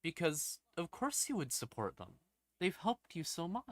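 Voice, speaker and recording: A man hosting a livestream, explaining lore casually and wryly, in halting phrases broken by pauses and emphatic stresses. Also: fairly high pitch, huge pitch swings, dry recording. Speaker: livestream host.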